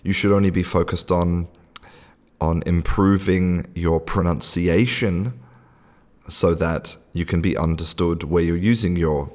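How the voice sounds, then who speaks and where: Deep-voiced man telling a chatty story. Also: fairly even intonation, measured pace, darkish tone, big-room sound. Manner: chatty storyteller, many restarts and fillers. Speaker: podcast host. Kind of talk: casual spoken podcast monologue.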